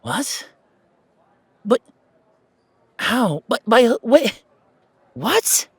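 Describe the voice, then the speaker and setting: A man acts out a grieving, loyal young man in an audio roleplay. His voice sits high and rough with breath, and he stammers and hesitates through his words. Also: big pitch swings, drawn-out words, dry recording, heavily acted. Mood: shocked disbelief.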